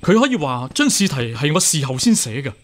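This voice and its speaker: An actor with a Hong Kong Cantonese accent playing a hot-headed, righteous young court official, earnest and impassioned, in a radio drama. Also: male voice, a little high, very animated, a little fast. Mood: agitated, earnest.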